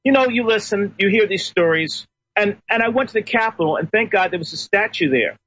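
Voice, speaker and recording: High-voiced man on a dull remote line. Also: animated, a little fast, a bit bright, slight background noise. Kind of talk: talk radio discussion.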